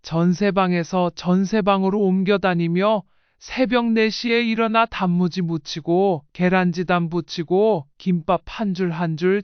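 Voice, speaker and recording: A woman's voice: fairly low pitch, smooth, dry recording, dull audio. A woman reading a scripted first-person life story in audiobook style. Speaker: narrator.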